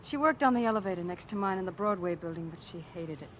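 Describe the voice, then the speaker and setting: A woman's voice: lowish voice, lively intonation, fast talker, natural voice. A woman acting a fragile, anxious young working woman in a 1940s radio drama. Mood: subdued, wistful. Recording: muffled, noisy old radio recording.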